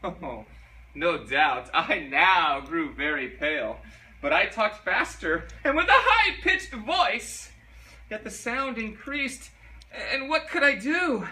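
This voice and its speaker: A man's voice: high-pitched, very animated, sharp tone, full character voice. A man playing a guilty, nervous murderer coming undone, in a theatrical dramatic reading of a gothic horror story. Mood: growing unease. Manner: theatrical, deliberate, intensely emotional. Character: guilty, nervous murderer unraveling into frenzied madness. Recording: noisy, distant microphone.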